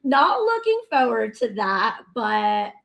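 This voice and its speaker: Slow-talking bubbly woman talk show guest. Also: fairly high pitch, sing-song, creaky, fairly bright tone, dull audio. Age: 30s. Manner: bubbly, laughs often, chatty with many fillers. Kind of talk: casual chat.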